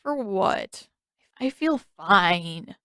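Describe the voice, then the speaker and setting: A woman's voice: mid-range voice, lively intonation, very slow, a bit bright, dry recording, heavily acted. Feverish teen boy played by a female narrator, grumbling, groggy, delirious, dry studio-like sound. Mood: groggy, grumpy.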